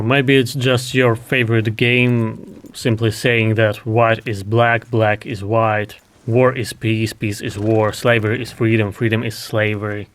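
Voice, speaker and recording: A man from Ukraine, a Russian speaker, hosting a heated commentary in a sarcastic, mocking tone. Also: mid-range voice. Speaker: commentary host. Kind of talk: reaction commentary.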